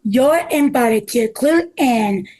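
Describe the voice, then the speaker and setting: A high-voiced woman playing a penthouse executive in a table read. The delivery is unhurried and deliberate, drawing out each phrase. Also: lively intonation, dark tone, heavily acted. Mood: curious. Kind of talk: script table read acting.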